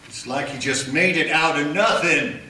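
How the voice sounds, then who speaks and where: A man's voice: a little high, normal speed, sharp tone. A man playing a jittery, plainspoken deputy. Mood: uneasy. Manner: plainspoken, nervous. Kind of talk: radio play acting. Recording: echoey room from a distance, faint noise.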